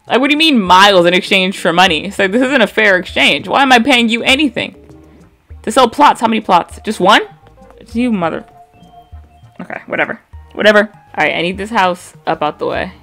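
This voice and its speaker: Woman, young, Midwest accent, slight vocal fry, low-pitched, casual gameplay commentary, faint background music. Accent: American Midwest. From American Midwest. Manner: chatty, blunt, swears freely, reads game dialogue aloud flatly. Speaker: gaming streamer.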